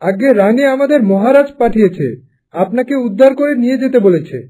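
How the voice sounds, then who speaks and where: A man's voice: very high-pitched, expressive, brisk, dark tone. A man playing a polite, grateful young horse master in a cartoon, with a courteous, earnest manner. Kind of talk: cartoon story dubbing.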